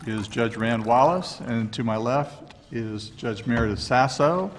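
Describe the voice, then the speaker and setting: A man's voice: medium pitch, animated, unhurried, slight background noise. Easygoing male presiding judge. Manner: easygoing, joking. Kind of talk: opening a court session. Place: courtroom. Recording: long courtroom reverb.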